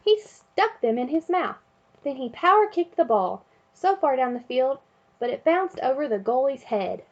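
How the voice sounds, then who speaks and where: A woman reading a children's picture book aloud in an expressive way, chanting refrains and sound words. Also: high-pitched, moderate pace, muffled recording. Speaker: storybook reader.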